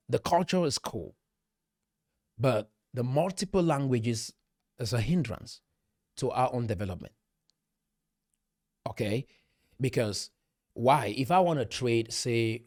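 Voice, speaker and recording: A man's voice: on the low side, no echo, studio quality. A middle-aged Cameroonian man with a Cameroonian accent, speaking emphatically to camera and often asking rhetorical questions. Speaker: commentator. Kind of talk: direct-to-camera monologue.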